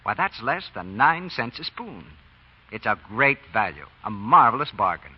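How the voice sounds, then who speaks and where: A man's voice: medium pitch, sing-song, moderate pace, husky. A man with an American accent announcing and selling in polished 1940s radio advertising style. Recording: dull old radio broadcast recording.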